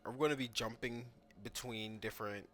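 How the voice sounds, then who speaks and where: A man's voice: mid-range voice, fairly even intonation, brisk, a bit bright. A man hosting a gaming video, giving casual commentary and thinking aloud in an informal way.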